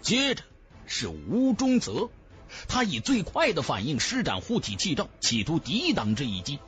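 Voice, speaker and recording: A male audiobook narrator tells a fantasy tale with theatrical emphasis. His pitch sits high and swings widely, and faint music plays underneath. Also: huge pitch swings, moderate pace, a bit bright. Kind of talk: dramatic scripted narration of a fantasy novel.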